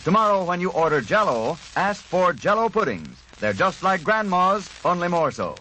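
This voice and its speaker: A man's voice: sits high, big pitch swings, normal speed, bright, forceful tone. An American man reading a commercial in the style of a 1940s radio announcer. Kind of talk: 1940s radio advertising read. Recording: faintly noisy vintage radio broadcast.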